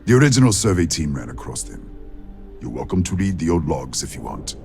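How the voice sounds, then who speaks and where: An actor playing a helpful, weary facility worker in a video game, speaking in a casual, understated, matter-of-fact way. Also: male voice, very deep voice, sing-song, brisk, vocal fry, a little mellow, faint music behind, full character voice.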